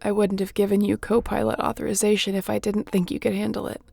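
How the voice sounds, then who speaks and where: A woman playing a wounded starship captain in a sci-fi audio roleplay, wry and caring, speaking haltingly and softly with a breathy, gravelly voice. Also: mid-range voice, level tone, no echo. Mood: teasing. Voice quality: gravelly, often breathy and whispery.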